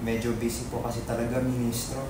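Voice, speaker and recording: A man's voice: medium pitch, level tone, fast talker, close to an everyday voice. A man playing a harried, stubbornly honest website writer in a dramatic reading, plain-spoken and polite but resistant. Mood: flustered, polite. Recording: very echoey, distant, heavy noise.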